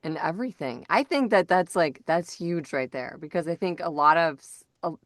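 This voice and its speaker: A woman with a slightly low voice hosts a casual interview. She talks conversationally, and the sound is very dry, with no echo around her. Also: lively intonation, moderate pace. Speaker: podcast host.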